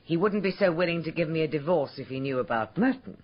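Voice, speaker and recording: A woman's voice: deep voice, expressive, fast talker, muffled recording. A woman playing a self-absorbed, glamorous society wife in a radio drama, with a dramatic, effusive manner. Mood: disbelieving.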